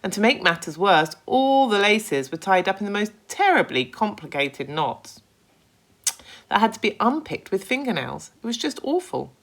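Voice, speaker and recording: A woman reading a children's story aloud with expressive narration, like a primary school teacher. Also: mid-range voice, moderate pace.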